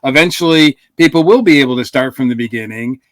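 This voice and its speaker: Halting male podcast co-host. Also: mid-range voice, no room sound. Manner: halting, repeats words. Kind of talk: casual podcast chat.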